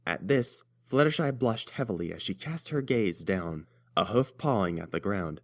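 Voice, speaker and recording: A man's voice: on the low side, animated, normal speed. A man reading scripted story narration as the narrator.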